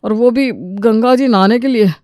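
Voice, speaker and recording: A woman's voice: on the high side, normal speed, darkish tone, dry recording, studio quality, voice pushed down, natural voice. A woman voicing an exasperated, reluctant adult son who complains and protests in a moral story. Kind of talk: acted dialogue in a moral story.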